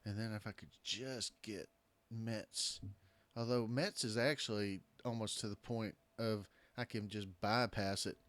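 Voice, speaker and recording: A man with a hoarse voice, casually commenting on a strategy game he is playing and thinking aloud in a hesitant way. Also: on the low side, steady tone, measured pace, a bit bright. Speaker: gameplay commentator. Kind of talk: casual let's-play commentary.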